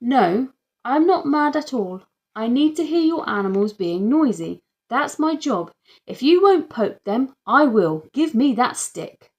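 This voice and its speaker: A woman's voice: high voice, very animated, measured pace, darkish tone, pitched above the performer's own voice, exaggerated voice. A woman voicing an officious, insistent woman council inspector in a children's audiobook. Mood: demanding, heated. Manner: bossy, insistent.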